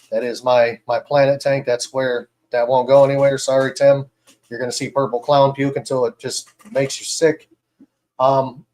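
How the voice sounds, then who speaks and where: A man hosting a casual live stream about fishkeeping, talking in a relaxed, rambling way with many fillers. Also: mid-range voice. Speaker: host.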